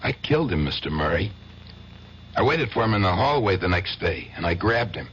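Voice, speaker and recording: A man's voice: low voice, sing-song, brisk, fairly bright tone. A man playing a menacing husband who confesses murder in a matter-of-fact way, in a radio drama. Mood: calmly menacing. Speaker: acquitted client. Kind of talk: 1970s radio drama acting. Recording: dull lo-fi radio broadcast with faint hiss.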